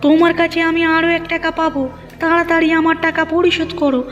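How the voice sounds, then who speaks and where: A woman's voice: very high-pitched, level tone, fast, fairly bright tone, in a large hall. A woman voicing a quarrelsome, stingy village fishmonger in a cartoon, pushy and threatening. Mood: demanding, threatening. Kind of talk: cartoon dialogue acting. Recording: muffled narrow-band audio with music.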